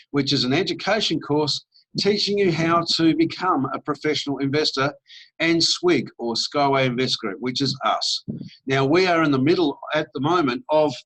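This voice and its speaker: A man's voice: on the high side, a bit bright, rough recording. A middle-aged Australian man giving an upbeat, persuasive sales presentation with casual fillers. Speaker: network marketing presenter.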